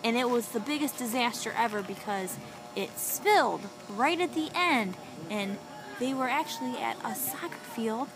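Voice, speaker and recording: A woman with a fairly high voice tells a made-up children's story. She is animated and encouraging, drawing the story out with questions and repeating what she hears. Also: normal speed, music in the background. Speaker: storyteller prompting a young child.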